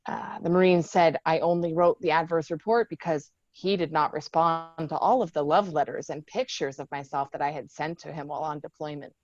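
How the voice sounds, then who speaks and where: Woman playing tough sarcastic female marine fighting back, lowish voice, dull dry audio, script read drama. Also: fairly even intonation, fairly quick, hardly any pauses, no echo, lightly acted. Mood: bitter sarcasm. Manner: sarcastic, emotional. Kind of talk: screenplay table read acting.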